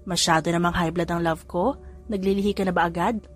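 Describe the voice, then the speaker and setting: A woman voicing a flirtatious grown man and doting father, speaking in a lowered, playful voice. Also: fairly even intonation, fast talker, faint music behind, lightly acted. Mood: teasing.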